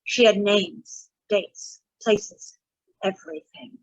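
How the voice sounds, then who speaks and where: An actress playing a sly, greedy, not very bright young blackmailer in a noir radio drama, whiny and pouty. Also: female voice, medium pitch, slow, no echo, dull audio. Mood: sly, grudging.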